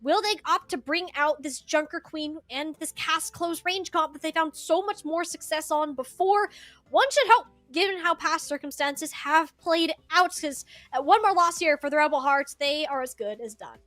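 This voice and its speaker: A woman doing live esports commentary as a shoutcaster, with playful, chatty banter. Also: high-pitched, normal speed, dry recording. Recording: music behind the voice. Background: music.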